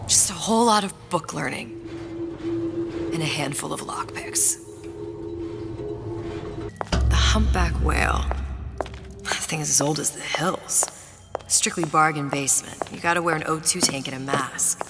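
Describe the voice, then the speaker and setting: Smart young game heroine, bit low, dry, muttering to herself, music behind. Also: female voice, big-room sound. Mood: haunted, somber. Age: young adult. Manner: dry, thinking aloud, often hushed. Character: clever, resolute young woman, bookish and haunted.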